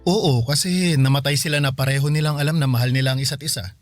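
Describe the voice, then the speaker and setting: A man's voice: medium pitch, fairly even intonation, fast, dry recording, studio quality, soft background music, lightly acted. A Filipino man playing a sincere, flirtatious younger suitor in a radio drama, earnest and conversational. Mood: earnest flirting.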